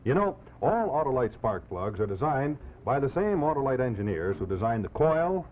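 An American man works as a television commercial announcer in a 1950s style. His delivery is polished and folksy, with a lively rise and fall. The soundtrack is narrow and tinny, with some noise. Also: medium pitch, normal speed. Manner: folksy, polished, direct address. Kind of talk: 1950s television sponsor pitch. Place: television studio set.

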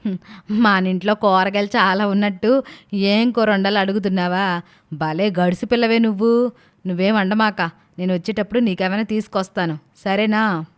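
A woman's voice: mid-range voice, steady tone, normal speed, clean tone, close to an everyday voice. Woman playing a fond, teasing village mother. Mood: teasing, dismissive. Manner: homely, colloquial, affectionately scolding. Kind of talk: animated moral story dialogue.

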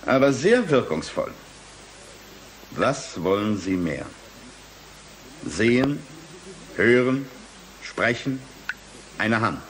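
A swooping, cynical, glib scientist. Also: male voice, mid-range voice, very animated, fairly bright tone, poor audio. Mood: smug, condescending. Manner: brisk, dismissive, rhetorical questions. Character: glib, cynical male brain-bank scientist, condescending. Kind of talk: German radio drama acting.

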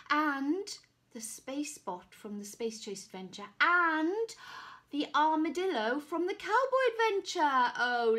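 A woman in her forties reads a story to small children. Her voice is high and very lively in pitch, with very slow, dramatic phrasing and coaxing asides. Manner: animated, dramatic, coaxing questions to listeners. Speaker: children's author reading own picture book. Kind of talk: expressive storybook read-aloud to young children. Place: small room at home.

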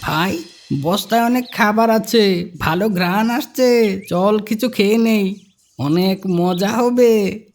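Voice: mid-range voice, takes it slowly, a little mellow. A performer voicing a sly, greedy, rude young boy in a children's moral cartoon, scornful and wheedling, over faint music.

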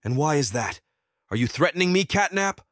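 A man voicing a friendly, gentle cartoon dog in a comic dub, earnest and expressive. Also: a little high, a little fast, dry recording, studio quality. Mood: confused. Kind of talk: animated comic dub acting.

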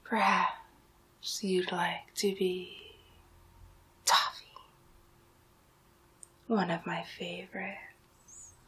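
A woman's voice: on the low side, little pitch movement, bright, forceful tone, heavily acted, rough recording. Woman playing a whispering, coaxing Halloween temptress. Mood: enticing. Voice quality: breathy, whispery. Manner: slow, coaxing, drawn-out words. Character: seductive, coaxing Halloween monster woman offering candy transformation. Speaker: ASMR roleplay performer. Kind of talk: whispered ASMR roleplay.

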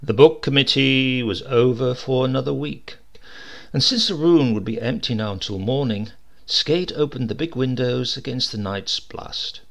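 A man reading a comic short story aloud as narrator, expressive and lively in his delivery. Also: medium pitch, normal speed, vocal fry. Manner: lively, expressive reading with varied character voices. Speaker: audiobook reader.

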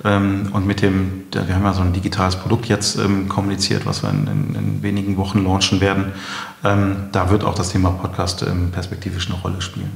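Deep, gravelly man in a reverberant room. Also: fairly quick. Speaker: company founder, podcast guest. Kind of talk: conversational interview answers. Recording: echoey room with long reverb.

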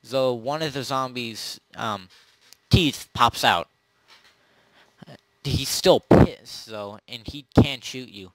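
Man game-mastering, halting delivery, dry sound. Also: mid-range voice, no echo, low-quality recording. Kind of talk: improvised narration of a tabletop role-play, casual.

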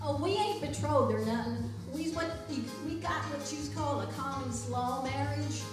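A woman's voice: high voice, moderate pace, distant mic, over loud music, close to an everyday voice. A woman playing a cantankerous, folksy farm wife with rustic grammar in an old-time radio comedy skit. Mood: matter-of-fact. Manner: drawling rustic grammar, mock-earnest. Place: small library room, on stage. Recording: echoey room, picked up from afar.

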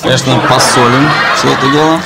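A man's voice: medium pitch, steady tone, fairly quick, gravelly, very noisy. A man cooking on a TV show, explaining each step with jokes and telling others what to do. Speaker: guest cook. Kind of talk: cooking demonstration banter. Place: studio kitchen set.

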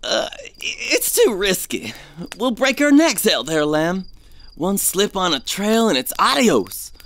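An actor playing a superstitious, fearful treasure hunter in an audio drama, with a folksy, foreboding way of talking. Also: male voice, high-pitched, very animated, slow pace, a bit bright, heavily acted. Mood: fearful, reluctant.

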